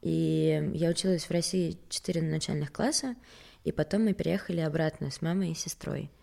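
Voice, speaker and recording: A woman's voice: low voice, brisk, creaky, warm tone. A young woman from Sweden, a model and interview guest, talking candidly and self-mockingly in a casual rambling way. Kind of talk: casual chat.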